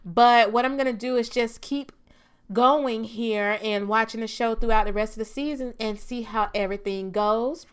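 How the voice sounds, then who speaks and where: A woman reviewing a TV episode in a casual, chatty vlog, her voice a bit raspy as she gets over a cold, laughing often. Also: on the high side, fairly even intonation, normal speed. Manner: chatty, laughs often, trails off with "I'm just saying". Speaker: TV review vlogger.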